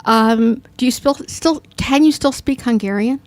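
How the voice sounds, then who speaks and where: A woman's voice: a little high, measured pace, no echo. A woman speaking informally and conversationally as an audience member putting questions to a speaker. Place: bookstore event.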